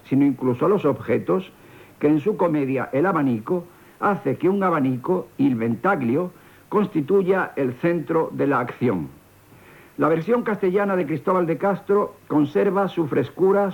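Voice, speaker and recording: Man, highish voice, erudite scripted commentary, tinny vintage radio recording. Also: animated, normal speed, creaky. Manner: formal, erudite. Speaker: theatre critic giving a radio introduction. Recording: thin phone-like 1970s radio sound.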